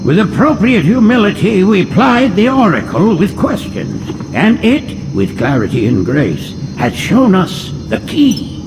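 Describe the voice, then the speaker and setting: A man's voice: sits high, sing-song, unhurried, hardly any pauses, big-room sound, music in the background, heavily acted. A man voicing a frail, elderly, pious alien priest with a quavering, reverent, sermon-like delivery. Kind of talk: video game cutscene acting.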